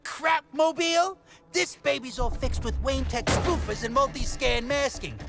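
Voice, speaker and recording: A man's voice: extremely high pitch, unhurried, music in the background. A man voicing a cheeky, boastful gadget inventor in a video game cutscene, cocky and salesman-like.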